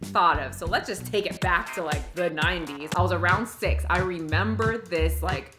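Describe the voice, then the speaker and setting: A woman in her thirties, a YouTuber, ranting casually to her camera in an outspoken, animated storytelling way. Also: fairly low pitch, moderate pace. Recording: music clearly behind the voice.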